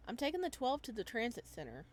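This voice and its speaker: A woman voicing a determined, guarded young man in a visual novel, speaking in a curt, low-key way. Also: huge pitch swings, quick pace, slight background noise. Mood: casual, earnest.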